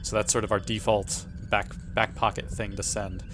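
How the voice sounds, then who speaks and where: A man's voice: lowish voice, flat delivery, normal speed, music in the background. A man talking casually as a player working through moves in a tabletop role-playing game.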